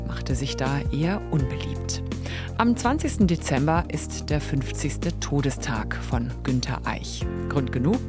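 A woman presenting a podcast as host, reading scripted radio narration. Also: low-pitched.

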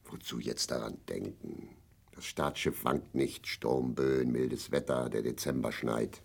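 An actor playing a bitter, drunk, lonely old East German husband, gravelly and grumbling, in a grotesque radio drama. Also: male voice, very deep voice, steady tone, a little slow, exaggerated voice. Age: older. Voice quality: gravelly, husky. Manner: grumbling, declamatory, self-pitying.

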